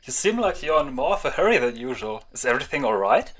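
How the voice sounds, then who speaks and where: Amiable stout loner tomcat acted by a man, chatty, very lively intonation, mid-range male pitch. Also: fast. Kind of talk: fandub audiobook voice acting.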